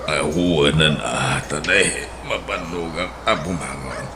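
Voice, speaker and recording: A frail old man, voiced by a male actor, talks slowly from his sickbed in a comedy radio play. His voice sits extremely deep and breathy. A steady hiss of noise runs under it. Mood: weak, coaxing. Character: frail old man Anton, bedridden but joking. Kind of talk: Ilocano radio drama acting.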